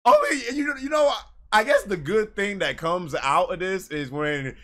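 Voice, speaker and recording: A man's voice: sits high, very animated, measured pace, bright, forceful tone. An American man in his thirties ranting casually to camera as a gaming YouTuber, emphatic and given to repeating phrases. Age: 30s. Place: small bedroom, indoors.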